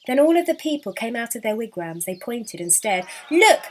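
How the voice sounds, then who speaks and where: A woman storyteller narrating a children's picture book expressively, lingering with deliberate emphasis on key words. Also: a little high, sing-song, a little mellow, a little hiss or hum.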